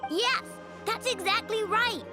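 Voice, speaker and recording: A woman's voice: very high-pitched, lively intonation, a little slow, over loud music. A woman voicing an earnest, determined young girl inventor in a video game, with a girlish voice. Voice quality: bright, girlish.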